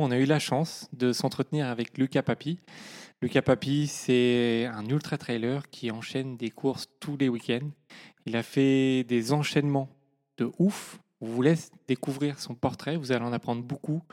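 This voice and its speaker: A man's voice: mid-range voice, a little mellow. Male interviewer for a podcast, middle register, conversational hosting delivery.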